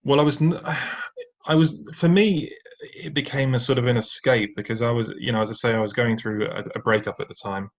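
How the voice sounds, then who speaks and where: A man's voice: medium pitch, steady tone, a little fast. A man, a podcast guest, telling his personal story in a reflective way with fillers and restarts. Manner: reflective, many fillers and restarts, occasional rueful laughs. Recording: dull lo-fi sound.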